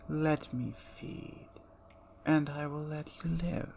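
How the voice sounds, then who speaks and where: A woman voicing a predatory, seductive female vampire in an ASMR roleplay, speaking in slow, low, teasing whispers. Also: steady tone, creaky, a little mellow, slight background noise, exaggerated voice.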